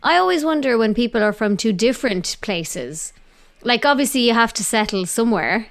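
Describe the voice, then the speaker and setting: A woman's voice: a little high, expressive, moderate pace. An Irish woman chatting casually as the host of a podcast. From Ireland. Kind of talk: casual chat.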